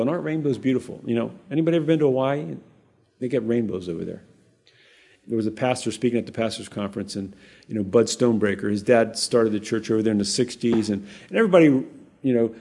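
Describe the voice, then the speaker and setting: A man with a warm, mellow, slightly husky voice leads a conversational Bible teaching. He talks casually and with animation, often restarting his sentences and turning to the congregation with asides. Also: medium pitch. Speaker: pastor.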